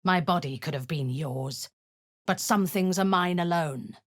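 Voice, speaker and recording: A woman's voice: on the low side, measured pace, dry recording, studio quality. A woman voicing a cruel, zealous drow warlord in a video game, giving cold commands that often sink into a menacing whisper.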